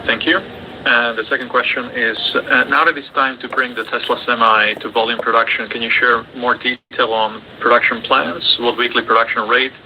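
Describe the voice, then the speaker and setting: A man's voice: mid-range voice, fairly even intonation, normal speed. A man moderating an investor call, reading out prepared questions. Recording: dull, noisy conference call audio.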